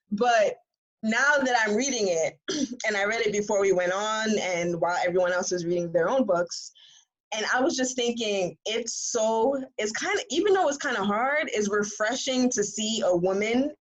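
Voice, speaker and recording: Woman just chatting books, slangy and animated, acts out what people would say. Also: on the high side, moderate pace, rough recording. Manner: animated, acts out imagined reactions in slangy conversational speech. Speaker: author and reader on a book discussion panel. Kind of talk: casual book discussion.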